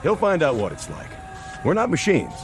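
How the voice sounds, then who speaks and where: A man's voice: fairly high pitch, huge pitch swings, normal speed, over music. A man playing a blunt, exasperated veteran factory foreman near pension age in a radio drama, plain-spoken and colloquial. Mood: grumbling, defiant. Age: older.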